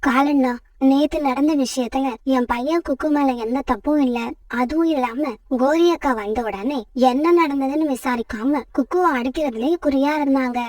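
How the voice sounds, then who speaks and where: A woman's voice: high-pitched, normal speed, a little mellow, no room sound, distant mic, close to an everyday voice. A woman voicing a brave, protective mother sparrow in a children's cartoon story, firm and motherly. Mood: earnest.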